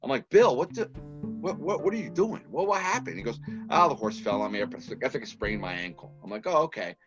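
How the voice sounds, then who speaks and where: A man's voice: medium pitch, brisk, muffled recording, music in the background. A man from Massachusetts with a Boston accent, a podcast guest telling stories and acting out the dialogue. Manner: animated storyteller who acts out quoted dialogue. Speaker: guest, filmmaker. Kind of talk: casual podcast storytelling.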